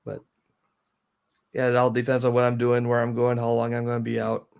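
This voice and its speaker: A man, explaining things in a practical, low-key way as a guest in a casual interview. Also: monotone, brisk, vocal fry, clear, resonant tone, muffled recording. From northern Wisconsin, United States. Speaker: guest, outdoor amateur radio operator. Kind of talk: casual live stream interview.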